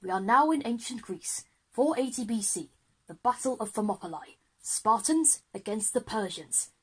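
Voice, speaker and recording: A woman's voice: on the high side, lively intonation, moderate pace, breathy edge, poor audio. A woman playing an enthusiastic, easily flustered male history teacher in a children's audio drama, with an eager, wordy lecturing manner.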